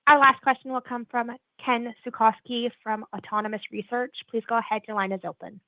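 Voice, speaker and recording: Female operator, higher voice, scripted and formal, phone-line sound. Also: brisk, no room sound. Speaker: call operator. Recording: dry, tinny phone line.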